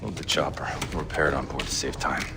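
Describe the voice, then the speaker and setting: An actor playing a gruff, resolute covert agent and team leader in a video game, speaking in terse commands. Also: male voice, a bass voice, fast, noisy background. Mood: stern resolve. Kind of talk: video game cutscene acting.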